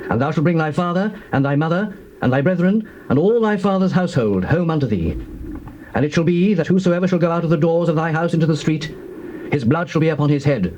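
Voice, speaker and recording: Casting: male actor for firm young-sounding biblical spy, lightly high voice, very quick emphatic delivery. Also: darkish tone, slight background noise, natural voice, rough recording. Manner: emphatic, solemn archaic speech. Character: Israelite spy, earnest, firm, oath-bound. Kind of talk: dramatized King James Bible acting.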